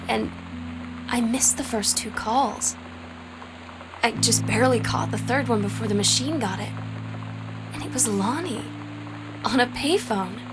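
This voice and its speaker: A woman voicing a young woman who reads out her diary entries to her sister in a video game, soft and confiding. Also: a little high, lightly acted, rough recording. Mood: hushed. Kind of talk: narrated game journal entries. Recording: with music underneath.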